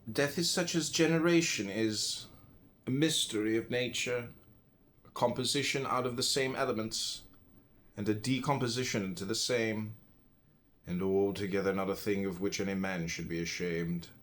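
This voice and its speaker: A man from the United States reads philosophical writing aloud. His voice sits a little low. He delivers it deliberately, one phrase at a time, leaving pauses between them. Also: fairly bright tone. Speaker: audiobook reader. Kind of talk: measured reading aloud of philosophical text.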